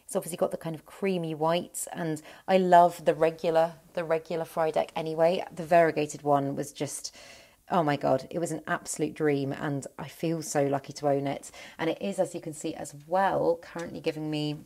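A woman's voice: low voice, steady tone, moderate pace, gravelly, warm tone. Chatty woman touring her houseplants. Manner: chatty, enthusiastic, rambling with restarts. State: voice slightly croaky. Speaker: plant vlogger. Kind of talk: casual plant collection tour. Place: indoor plant cabinet at home.

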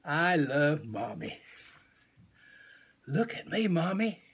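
Older man voicing an eager husky little bear cub. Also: fairly high pitch, big pitch swings, slow, fairly bright tone, heavily acted. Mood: contented.

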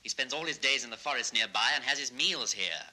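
A man's voice: medium pitch, brisk, sharp tone, rough recording. A man playing an ardent young composer and admiring disciple in a vintage children's record drama, earnest and enthusiastic. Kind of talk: 1960s children's record radio-play acting.

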